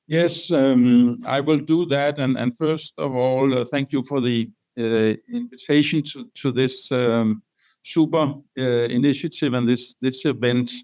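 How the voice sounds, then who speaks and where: Older guy guest on a video panel, smooth voice but muffled lo-fi sound, lots of uh and repeats. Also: medium pitch, steady tone, a little slow, darkish tone. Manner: hesitant, repeats words. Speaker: guest researcher. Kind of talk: conversational answer on a panel.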